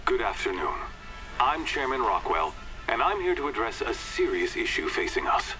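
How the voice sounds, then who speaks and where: A man's voice: mid-range voice, big pitch swings, moderate pace, music in the background, natural voice, rough recording. An actor playing a pompous, short-tempered corporate chairman in a video game, with a polished public-speaking manner. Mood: falsely reassuring. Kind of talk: video game scripted address.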